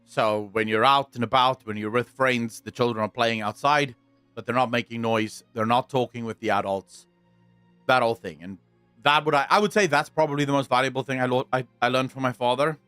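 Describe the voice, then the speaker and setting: A young man with a South African accent chatting on a livestream, answering viewers in a deliberate, blunt way, repeating his points and swearing now and then. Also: medium pitch, a bit bright, clear, resonant tone, no room sound, faint music behind. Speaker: streamer.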